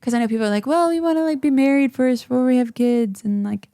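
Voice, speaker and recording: A chatty woman in her thirties, a guest on a podcast, who laughs easily as she talks. Her voice has a gravelly fry at the bottom, and the sound is close and dry, with no room around it. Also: high voice, fast talker, dark tone, no room sound. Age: 30s. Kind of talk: casual chat.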